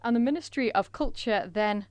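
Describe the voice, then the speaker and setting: A woman interpreting a conference presentation in a measured way, with frequent filler pauses. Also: fairly high pitch, clean tone, no echo.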